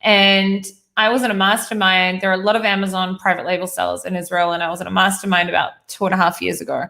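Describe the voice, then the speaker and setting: A woman's voice: lowish voice, flat delivery, fast, gravelly, bright, forceful tone. A woman from New Zealand hosting a conversational interview, thinking aloud as she works through winding questions. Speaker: host.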